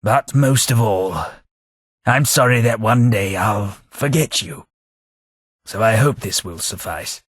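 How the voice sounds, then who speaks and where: A man voicing a genteel, radiation-scarred London gentleman in a video game, formal and dryly witty with old-fashioned diction. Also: on the low side, slow, husky, dry recording, clean studio sound. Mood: sorrowful. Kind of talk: video game character dialogue.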